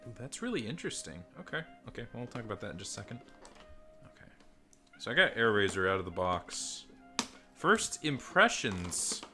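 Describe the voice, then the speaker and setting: A man's voice: big pitch swings, normal speed, bright, forceful tone, soft background music. A man reviewing toys in a casual, rambling, self-deprecating way, swearing offhand. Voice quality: deep voice. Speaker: toy reviewer. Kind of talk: casual unboxing chat. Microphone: desk microphone and phone mic.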